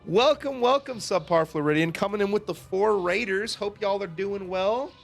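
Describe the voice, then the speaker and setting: Young guy rambling on stream, kinda hoarse, clears his throat a lot, faint music behind. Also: sits high, moderate pace. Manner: chatty, rambling, laughs often, lots of fillers. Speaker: livestream host. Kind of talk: casual game overview chat.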